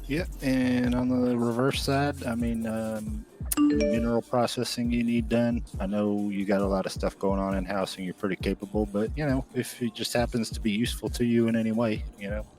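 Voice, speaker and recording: A man's voice: mid-range voice, fairly even intonation, brisk, a little mellow, faint music behind, natural voice. A man role-playing an agreeable, respectful county business operator in a game, talking casually and courteously. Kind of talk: improvised in-character role-play.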